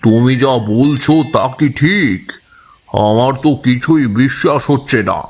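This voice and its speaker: Slow, troubled village man played by an actor. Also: medium pitch, a little hiss or hum. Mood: troubled disbelief. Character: concerned, doubting villager, sorrowful at human cruelty. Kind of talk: dramatised horror audio story.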